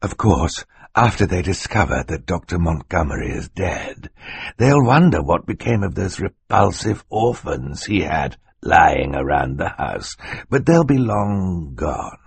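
A male audiobook reader puts on a menacing villain with a very deep, raspy voice. He drawls in a mocking, smug way and slides into a snarl. The recording is close and dry. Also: very animated, unhurried, dry recording, full character voice. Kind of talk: audiobook character voice.

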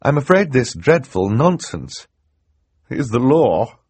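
A man's voice: medium pitch, big pitch swings, slow pace, darkish tone, slightly rough, no echo, voice pushed up, exaggerated voice. A man voicing a kind, earnest woman judge in an audiobook. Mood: distressed. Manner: earnest, pleading.

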